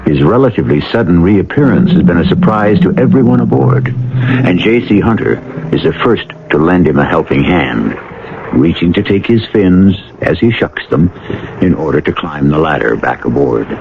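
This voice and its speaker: A man hosting an old-time radio drama with portentous, deliberate scripted narration. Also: low-pitched, big pitch swings, vocal fry, heavy background noise. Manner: portentous, deliberate rhetorical questioning. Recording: muffled narrow-band vintage radio, loud music.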